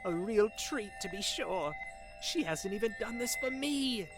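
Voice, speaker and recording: A woman playing an excitable, chatty, teasing tavern friend in a fantasy audio drama, with a dramatic, gushing manner. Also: medium pitch, a little slow, over music, close to an everyday voice. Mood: excited, gushing. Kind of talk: fantasy visual novel audio drama acting.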